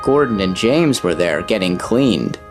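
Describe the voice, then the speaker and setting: Storyteller, male: animated scripted narration of a children's tale, music behind the voice. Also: deep voice, unhurried.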